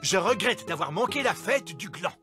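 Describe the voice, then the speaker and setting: A man's voice: sits high, expressive, moderate pace. An actor playing a jovial, naive, pompous fairy king and father in a French cartoon dub, full of exclamations. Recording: loud music behind the voice.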